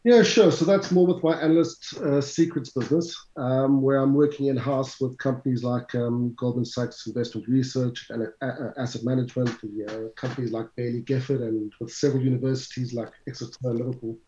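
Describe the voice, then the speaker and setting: A man's voice: mid-range voice, fairly even intonation, fairly quick, hardly any pauses, mellow, a little hiss or hum. A middle-aged South African man, a finance instructor, answering interview questions in a chatty, lecturing way with asides to the audience. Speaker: CFA instructor, guest. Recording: roomy, slightly dull sound.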